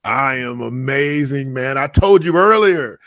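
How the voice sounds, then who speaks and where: A man's voice: mid-range voice, expressive, measured pace, a bit bright, no room sound, studio quality. A man in his fifties hosting a podcast in an emphatic, colloquial way, repeating phrases for effect. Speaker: podcast host. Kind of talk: upbeat podcast intro and casual interview.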